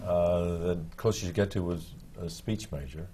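A man's voice: low-pitched, normal speed, warm tone, a little hiss or hum. An older man, speaking as an actor on a panel in an amused, rambling way. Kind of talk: panel discussion.

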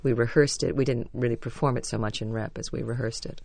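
A stage actress with a low voice, telling chatty, self-deprecating stories as a radio interview guest. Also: female voice, fairly even intonation, fast, gravelly, a little mellow, no echo.

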